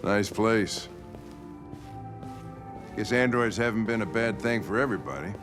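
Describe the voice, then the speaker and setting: A middle-aged man with an American accent playing a gruff, blunt, world-weary police lieutenant in a cinematic video game. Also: on the low side, measured pace, close to an everyday voice. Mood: dry, brooding. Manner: blunt, dry, impatient. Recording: music under the voice.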